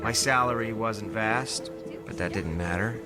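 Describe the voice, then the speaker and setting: A man's voice: lowish voice, a little slow, lightly acted. An actor playing a zealous, self-assured born-again American businessman and father in an audio drama, with a folksy, preacherly manner. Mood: reflective, confiding. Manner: folksy, preacherly, rhetorical questions. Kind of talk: audio drama acting, preaching speech and confessional narration. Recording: music playing behind the voice.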